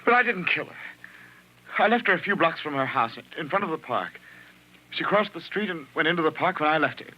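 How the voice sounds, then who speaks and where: An actor in a 1940s radio drama playing a desperate, bitter husband accused of a crime, stammering and halting. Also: male voice, fairly high pitch, expressive, lo-fi sound. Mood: nervous, defensive. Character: desperate accused husband, unfaithful, bitter toward his wife. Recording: old 1940s radio transcription.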